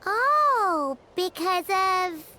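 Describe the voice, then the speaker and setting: A tiny floating companion creature chirps in a very high, sharp voice that leaps all over the scale. She stretches her words slowly and exclaims everything. Soft game music plays underneath. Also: very animated, heavily acted. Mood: puzzled. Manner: squeaky, bouncy, exclamatory. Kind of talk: video game voice acting.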